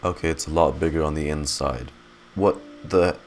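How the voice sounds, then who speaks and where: A man's voice: very deep voice, fairly even intonation, moderate pace, faint music behind. A man giving casual, slangy, joking and sweary reaction commentary as he plays a story game. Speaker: gamer reacting to a story quest.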